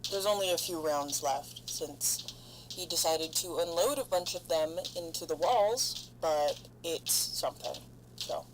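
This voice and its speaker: A woman acting a weary, foul-mouthed, defiant lone spaceship survivor logging a halting, sardonic monologue in an audio drama. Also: low-pitched. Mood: grim, halting. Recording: clear background noise, humming ship ambience. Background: noisy hum of spaceship sound design.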